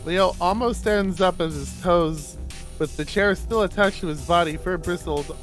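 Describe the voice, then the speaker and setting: A man's voice: high voice, moderate pace, fairly bright tone, faint music behind. A man reading a visual novel aloud on a stream, expressively, with casual sweary asides.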